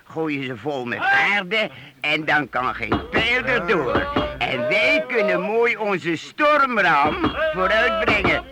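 A man's voice: high-pitched, very animated, slow pace, exaggerated voice. An actor in a Dutch radio play playing a thrifty, grumbling medieval master craftsman. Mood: practical, shrewd. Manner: penny-pinching, fussy. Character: thrifty, grumbling medieval master cooper leading townsmen in a siege. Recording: very noisy old radio recording.

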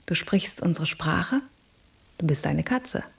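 Husky-toned, flirtatious female cat. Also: low-pitched, expressive, slow pace, in a whisper, heavily acted. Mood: teasing. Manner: coaxing, often dropping to a breathy murmur. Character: flirtatious, self-assured female cat, worldly and teasing. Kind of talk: German radio play acting.

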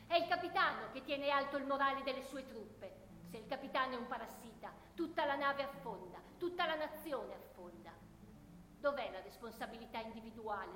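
Brooding anguished soldier, slightly high voice, solemn declamation, theatrical radio monologue, long hall reverb. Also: female voice, a bit bright. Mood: bitter accusation. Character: anguished, sleepless, lonely soldier at war, brooding and disillusioned. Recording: echoey hall with clear background noise.

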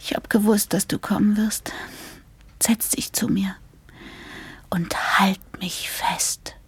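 A woman's voice: mid-range voice, steady tone, slow pace, husky, no echo. A woman playing a weary, frightened, affectionate young woman in an intimate radio play, half-whispering. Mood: drowsy, tender.

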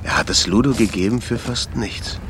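A man plays a puzzled, probing questioner in a radio play. His voice sits fairly low, over clearly audible background noise. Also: normal speed, natural voice. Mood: troubled, pensive. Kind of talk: German radio drama acting.

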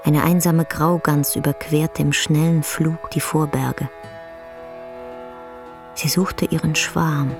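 A woman narrating a literary radio drama in a solemn, measured way, with a breathy, half-whispered voice. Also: low voice, little pitch movement, over music. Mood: solemn, sorrowful. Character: solemn, tender storyteller of a sad tale.

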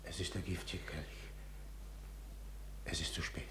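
A scheming king, sharp and echoing. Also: low voice, level tone, unhurried, whispered. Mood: tense, covering up. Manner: ceremonious, commanding. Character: scheming villainous king, outwardly gracious, commanding. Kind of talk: classic radio play acting of stage tragedy. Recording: echoing roomy radio drama staging.

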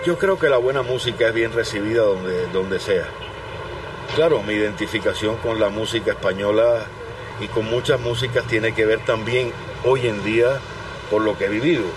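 A man from Cuba, a pianist, giving thoughtful interview answers with pauses and restarts. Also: fairly low pitch. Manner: thoughtful, pausing, restarts words. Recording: distant mic with background noise.

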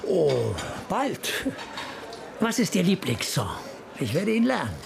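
An actor playing a gravelly, courteous homicide cop who flirts as he questions, in a German radio play. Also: high voice, very animated, slow pace, music in the background, exaggerated voice. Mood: flirty, probing.

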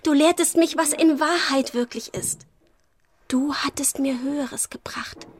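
A woman playing an infatuated, fragile young actress in a literary radio play, with a breathy voice. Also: sits high, a little slow, slight background noise. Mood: rapturous.

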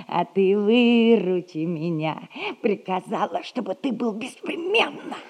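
A woman's voice: mid-range voice, animated, a little slow, darkish tone. An actress playing a folksy, knowing servant woman, a bossy and wheedling go-between in a theatrical 1960s audio comedy. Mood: conspiratorial, coaxing.

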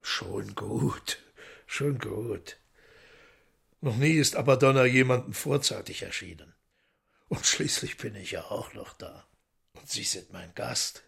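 A man playing a calm, commanding, courteous devil lord in a German radio drama, speaking unhurriedly with a husky voice. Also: mid-range voice, takes it slowly, exaggerated voice. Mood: soothing, hushed.

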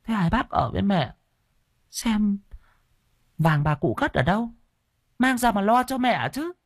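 A man's voice: sits high, animated, a little slow, no room sound, studio quality, pitched above the performer's own voice, full character voice. A man voicing a greedy, nagging middle-aged sister-in-law. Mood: prying. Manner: nagging, accusing.

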